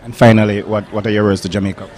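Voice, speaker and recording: A man working as a reporter, asking short, brisk questions. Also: fairly low pitch, level tone, darkish tone.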